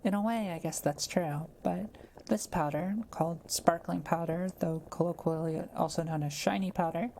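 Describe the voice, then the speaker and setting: Kind forest witch for audio roleplay, woman performer: soft-spoken, patient explainer, very mellow with a gravelly creak. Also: lowish voice, steady tone, moderate pace, slight background noise, lightly acted. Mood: focused, explanatory. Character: kind, quiet-living forest witch brewing potions.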